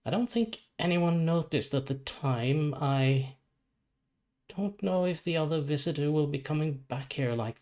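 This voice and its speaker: A man's voice: a little high, natural voice. Guy doing a stern suspicious superhero cop in a story, blunt, no nonsense. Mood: subdued. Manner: blunt, challenging.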